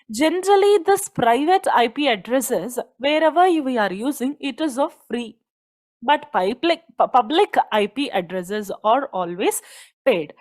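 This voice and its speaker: Indian-accented female lecturer, high voice, didactic delivery that repeats and stresses key points. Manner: didactic, poses questions then answers them, repeats key points. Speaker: teacher. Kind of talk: explanatory technical lecture.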